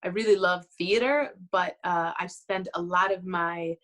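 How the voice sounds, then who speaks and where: A woman from Chicago, a theater artist and mother, talks thoughtfully and pauses on fillers. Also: on the low side, a bit bright. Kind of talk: personal introduction.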